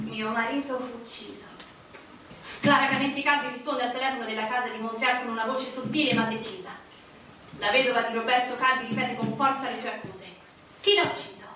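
A woman's voice: fairly high pitch, bright, forceful tone, slight background noise. An actress playing a grave woman reading out a widow's accusations, firm and declamatory in theatrical style. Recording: echoey distant stage recording.

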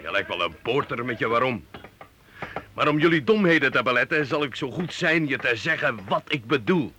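Scornful medieval knight, theatrical radio drama, very sharp forceful voice, pompous, domineering, slightly noisy old recording. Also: male voice, mid-range voice, animated, fairly quick. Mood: scornful, commanding. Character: arrogant, scornful, bellowing medieval knight, lord of a castle.

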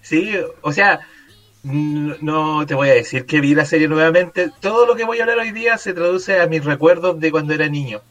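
A man, a guest on a casual podcast, talking in a relaxed, friendly way. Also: on the high side, moderate pace.

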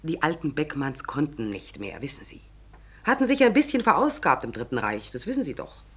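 A woman's voice: low-pitched, huge pitch swings, a little fast, slightly rough, heavily acted. An actress playing a brusque, callous, gossipy woman tenant in a 1940s German radio drama, blunt, offhand and sardonic.

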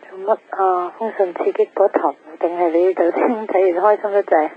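A woman's voice: medium pitch, steady tone, normal speed. Down a thin telephone line with faint noise, a young woman chatting in a radio drama. She is a breezy, teasing friend who slips English words into her talk. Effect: telephone filter.

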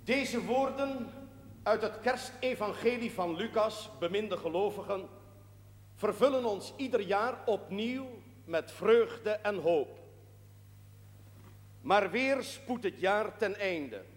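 Echoing, high-pitched solemn priest. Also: male voice, lively intonation. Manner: solemn, measured, declamatory. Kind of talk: sermon in a period television drama. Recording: echoing church-like hall, long reverb.

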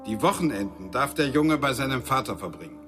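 An actor playing a formal judge pronouncing a ruling in a measured way, in a radio drama. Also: male voice, medium pitch, expressive, fairly bright tone, faint music behind, lightly acted. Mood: official.

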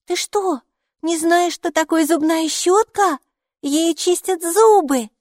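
A woman voicing a lively, stubborn, inquisitive little girl in a children's audiobook, speaking emphatically. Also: very high voice, slow pace, dry recording, studio quality, pitched above the performer's own voice. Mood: confident. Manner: emphatic, excitable, matter-of-fact.

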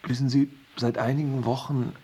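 Ein Schauspieler spielt in einem deutschen Hörspiel einen überreizten, ängstlichen, verträumten Familienvater, der fahrig und weitschweifig redet. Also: male voice, mid-range voice, level tone, moderate pace, dark tone, breathy edge, natural voice. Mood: nervous, confiding. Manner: agitated, rambling, apologetic. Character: overwrought, anxious family father and businessman, dreamy, claustrophobic. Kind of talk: German radio drama acting.

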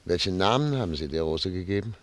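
A man's voice: sits low, lively intonation, fast. A man playing a troubled, grave statesman in a German radio drama, formal and brooding in his speech. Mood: puzzled, probing. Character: troubled, grave male statesman addressed as Excellency.

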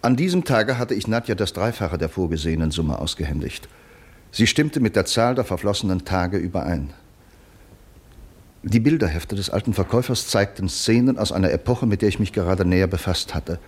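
A reflective writer reads out his diary in a radio play. His voice is deep and husky, and his delivery is measured and formal. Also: lively intonation, darkish tone. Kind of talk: literary radio drama narration.

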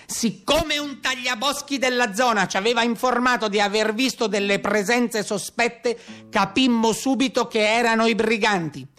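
A man's voice: very high voice, moderate pace, bright, forceful tone, faint music behind, voice pushed up. A man voicing a solemn carabiniere who recounts a brigand hunt, formal and declaiming, in theatrical narration. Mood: solemn reporting.

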